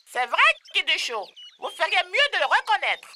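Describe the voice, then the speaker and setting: Very high, bright mother bird. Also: sing-song, moderate pace, heavily acted. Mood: playful boasting. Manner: playfully boastful, instructive. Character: boastful, wise motherly female talking bird. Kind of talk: children's cartoon dubbing.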